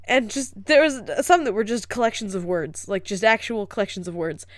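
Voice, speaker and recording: A woman in her twenties co-hosting a casual podcast, telling stories in a wry, quick, profane way. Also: medium pitch, animated, no echo, studio quality. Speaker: podcast co-host. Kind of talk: casual chat. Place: apartment.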